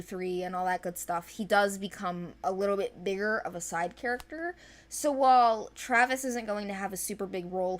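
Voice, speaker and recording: A young woman chatting informally and unscripted as she presents characters from an animated series, with a playful, self-deprecating manner. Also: mid-range voice. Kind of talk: casual unscripted character overview.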